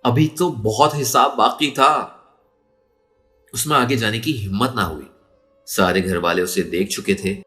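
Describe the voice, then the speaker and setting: A man's voice: fairly low pitch, a little slow, pauses often, a bit bright, faint music behind. A man narrating a story in a dramatic, emotive and suspenseful way. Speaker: audiobook narrator.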